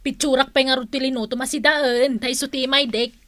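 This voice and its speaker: A woman's voice: sits high, steady tone, brisk, a bit bright, no echo, studio quality. An actress playing a bossy, vain woman in a radio comedy drama, insistent and nagging. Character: bossy, vain woman hooked on Facebook likes.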